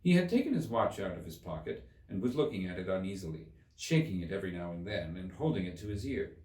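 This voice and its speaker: A man's voice: deep voice, very animated, quick pace, a little mellow. Male audiobook reader with hugely varied intonation, scripted storytelling, slightly distant roomy sound. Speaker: audiobook narrator. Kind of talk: animated storybook narration.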